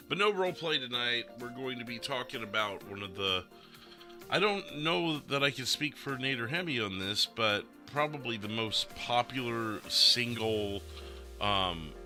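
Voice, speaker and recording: A man hosting a gaming podcast livestream in casual chat, often pausing mid-sentence. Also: mid-range voice.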